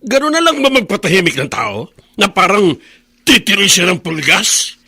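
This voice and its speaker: An actor playing a blunt, exasperated elder brother in a Filipino radio drama. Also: male voice, sits high, big pitch swings, normal speed, sharp tone, slightly rough, exaggerated voice. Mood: scoffing. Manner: blunt, scoffing. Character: dismissive, exasperated elder brother of worried woman.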